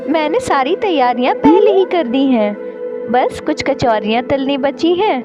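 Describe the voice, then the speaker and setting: A woman's voice: high-pitched, animated, unhurried, dull audio. A woman voicing a dutiful, obedient young daughter-in-law in a cartoon moral story, polite and eager to please. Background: music.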